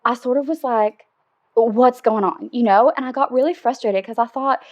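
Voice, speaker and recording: A woman acts out a giddy, smitten woman phoning an advice show. Her voice sits fairly high, and she confides in a chatty, giggly way, frank about her love life in an improvised comedy style. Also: moderate pace, natural voice. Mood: confiding, frustrated. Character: giddy, lovestruck, sexually frank woman caller. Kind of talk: improvised comedy phone-in chat.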